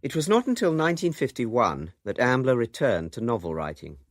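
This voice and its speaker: A man's voice: medium pitch, very animated, brisk, no room sound, clean studio sound. A man giving a scripted narration for a radio documentary.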